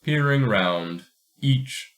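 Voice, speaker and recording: A man's voice: medium pitch, lively intonation, very slow, a bit bright. A man co-hosting a podcast, moving between casual chat and dramatic reading of a story.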